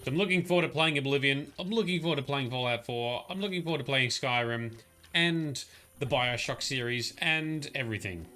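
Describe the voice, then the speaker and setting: A man's voice: mid-range voice, fairly quick, bright, forceful tone. A man giving chatty, rambling live commentary while he plays a game and talks to his chat. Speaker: streamer. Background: faint game music.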